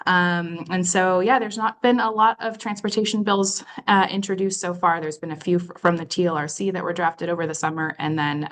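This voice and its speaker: A woman giving a casual, halting briefing with lots of fillers and restarts. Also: deep voice, a bit bright. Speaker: legislative update presenter.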